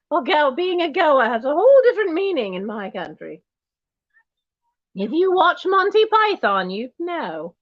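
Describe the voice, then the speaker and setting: Posh British grande dame in diamonds, played by a woman; slow, high, swooping and snooty, calls everyone darling. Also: no echo, lo-fi sound, full character voice. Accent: put-on posh British. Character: snobbish posh lady in wig and diamonds. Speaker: auction host. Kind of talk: in-character livestream auction.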